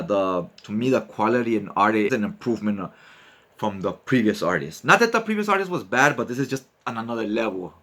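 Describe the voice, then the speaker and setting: A man narrates a comic book story as its host. He talks informally, with slang and casual commentary, like chatting with friends, in a medium-pitched voice. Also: fairly bright tone.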